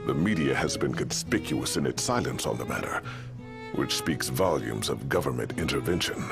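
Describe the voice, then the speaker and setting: An actor playing a shrewd, courteous older man in a game cutscene, with measured, formal, wry phrasing. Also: a bass voice, expressive, gravelly, music in the background, heavily acted. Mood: candid, wry. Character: shrewd, gravel-voiced older local man, courteous and knowing. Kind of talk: video game cutscene acting.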